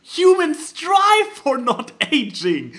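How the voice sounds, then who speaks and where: Podcast cohost chatting casually, super lively up and down intonation, dramatic emphasis, trips over words. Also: high-pitched, slow pace. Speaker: podcast co-host. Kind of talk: casual chat, reading out and commenting.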